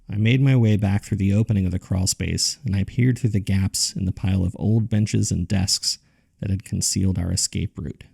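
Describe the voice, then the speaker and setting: A low-voiced man with a heavy gravelly creak tells his horror story as a haunted survivor. He speaks gravely and deliberately, in a dark, subdued tone, like a scripted audio drama narrator. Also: full character voice. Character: haunted man recounting a terrifying ordeal.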